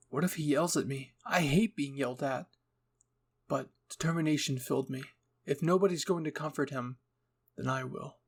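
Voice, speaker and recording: A man narrates a scripted story in a dramatic reading style. His voice sits at a middle pitch with a noticeable creak. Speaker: narrator, fan fiction reader.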